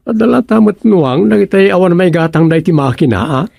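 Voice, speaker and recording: A man's voice: high voice, lively intonation, a little fast, vocal fry, a little mellow, dry recording, studio quality, exaggerated voice. A man playing an easygoing, placating grown son in an Ilocano radio comedy, with an Ilocano accent. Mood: chatty.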